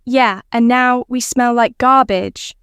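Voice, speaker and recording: Brash, sarcastic male space creature for story narration, voiced by a woman, clear tone, dry sound. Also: fairly high pitch, steady tone, takes it slowly, fairly bright tone, dry recording, voice pushed up. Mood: snarky.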